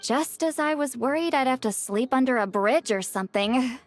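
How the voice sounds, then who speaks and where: A woman voicing a prickly, easily embarrassed woman in an animated game, huffy and dry, with music behind her. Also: sits high, normal speed, no room sound. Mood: dry, casual. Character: prickly, self-conscious woman, easily embarrassed, fond of slacking.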